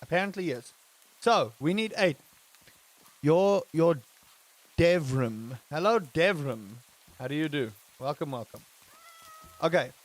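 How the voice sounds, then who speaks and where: A man from England live-streaming a game, chatting casually with his viewers and breaking into excited exclamations. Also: fairly high pitch, measured pace, pauses often, poor audio. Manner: animated, rising to excited exclamations; chatty, addresses chat directly. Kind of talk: live-streamed gameplay chat.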